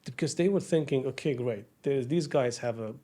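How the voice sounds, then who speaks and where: Heartfelt podcast host from Iraq. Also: male voice, mid-range voice, a little fast, mellow. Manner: heartfelt, searching for words. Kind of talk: podcast interview.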